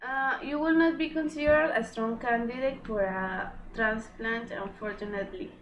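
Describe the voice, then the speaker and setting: A woman's voice: fairly high pitch, normal speed, muffled recording, close to an everyday voice. Young woman as a matter-of-fact doctor, noisy recording. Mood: clinical. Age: teenager or young adult, high school student. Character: matter-of-fact female oncologist giving serious news. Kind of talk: amateur student book dramatization. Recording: amateur recording with clear background noise.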